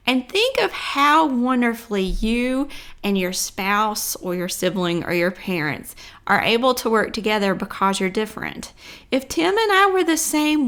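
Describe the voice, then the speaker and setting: A woman's voice: on the high side, a bit bright. A middle-aged woman hosting a personal vlog, speaking earnestly and encouragingly to viewers in a reflective way, close to a desk microphone at home. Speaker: vlog host. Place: living room at home. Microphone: large desk microphone, close.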